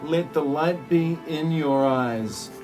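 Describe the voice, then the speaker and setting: A man playing a solemn otherworldly messiah in a spoof audio play, speaking in a portentous, measured way. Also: a little high, over music. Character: solemn otherworldly messiah passing judgement on humanity.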